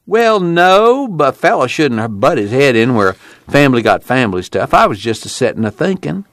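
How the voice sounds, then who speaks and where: A man's voice: medium pitch, very animated, a little fast, no echo, studio quality, pitched above the performer's own voice. A man voicing a humble, nervous former preacher who talks earnestly in folksy dialect.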